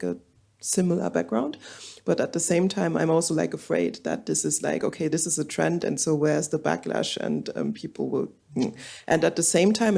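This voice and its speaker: A woman's voice: low-pitched, creaky, warm tone. A woman from Germany speaking on a symposium panel, hesitant and searching for words, with many fillers. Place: auditorium.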